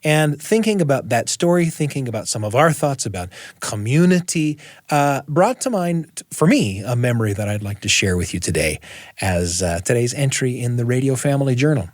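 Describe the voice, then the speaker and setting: A man's voice: mid-range voice, animated, moderate pace, dry recording, studio quality. A man hosting a radio show, chatting and telling personal stories in a conversational way. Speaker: radio show host and storyteller. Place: radio studio.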